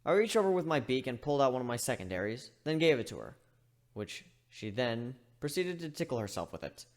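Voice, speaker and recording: A man giving an expressive amateur dramatic reading of a story. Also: mid-range voice, a little fast. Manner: animated, expressive delivery. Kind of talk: dramatic reading of fan fiction.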